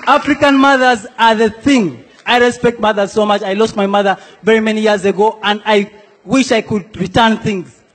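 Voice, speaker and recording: A man's voice: high-pitched, fairly even intonation, normal speed, long reverb tail. A young man from South Sudan performing a stand-up comedy set, playfully acting out the people in his stories. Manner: playful, acts out quoted characters. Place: comedy stage in a large hall before a laughing audience.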